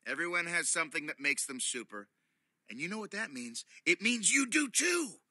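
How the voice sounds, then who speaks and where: A middle-aged man reading a children's bedtime story aloud in an animated, playful, theatrical way from a cosy studio armchair. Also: fairly high pitch, moderate pace, no room sound.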